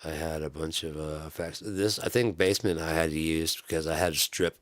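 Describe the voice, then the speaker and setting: A man with a deep, bassy voice rambling loosely to his channel's viewers, with lots of restarts and swearing. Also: steady tone, fairly quick, dry recording. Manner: loose, profane, meandering with many restarts. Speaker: channel host. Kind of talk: rambling casual vlog.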